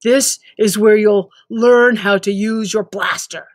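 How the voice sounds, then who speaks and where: Storybook drill sergeant, played by a woman: stern, very deliberate, emphatic orders. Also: medium pitch, steady tone, no room sound, studio quality, natural voice. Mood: commanding. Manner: clipped, emphatic, deliberate commands. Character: stern, single-minded male drill sergeant, robotically repeating game instructions.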